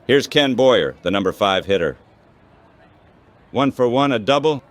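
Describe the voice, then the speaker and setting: Slow, measured baseball announcer man. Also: mid-range voice, expressive. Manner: measured, clipped short phrases with pauses. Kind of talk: scripted radio baseball commentary.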